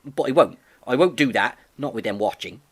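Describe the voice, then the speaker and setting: A man's voice: medium pitch, lively intonation, brisk, slightly rough. A man reading an audiobook, voicing an earnest, devoted fifteen-year-old farm boy with boyish enthusiasm. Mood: proud. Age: 15 (figure). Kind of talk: audiobook character voice.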